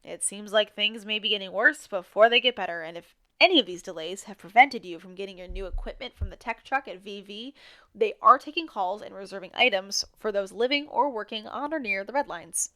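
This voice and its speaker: An actress plays a sardonic woman co-hosting a small-town radio show in an audio drama. Her voice is forward and sharp, at a middling female pitch. She delivers announcements with blunt, grudging sarcasm. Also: fairly quick, close to an everyday voice. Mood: sardonic, irritated. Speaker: radio co-host.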